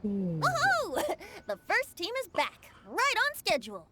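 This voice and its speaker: A woman voicing a bubbly, encouraging, energetic young event host in a video game, peppy and animated. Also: extremely high pitch, a little slow, full character voice. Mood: elated. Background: game music.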